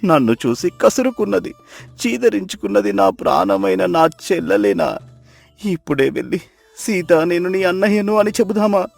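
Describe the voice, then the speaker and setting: A man's voice: high-pitched, sing-song, moderate pace, gravelly, no echo, heavily acted. A man voicing a broken, half-mad beggar in a melodramatic moral story, pitiful, emotional and wailing. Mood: anguished. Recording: music behind the voice.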